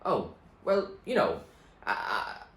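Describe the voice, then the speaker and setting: A man reading aloud who voices a wary, quick teenage girl who stammers when flustered, in an echoey room. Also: medium pitch, huge pitch swings, a bit bright, voice pushed up, heavily acted. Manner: hurried, stammering. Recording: echoey room, faint noise.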